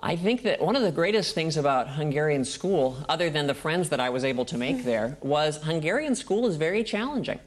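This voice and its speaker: A man from Minnesota with an American accent, chatting as a studio guest in a friendly, steady storytelling way. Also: fairly high pitch, fairly quick, few pauses. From Minnesota, United States. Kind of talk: casual interview chat.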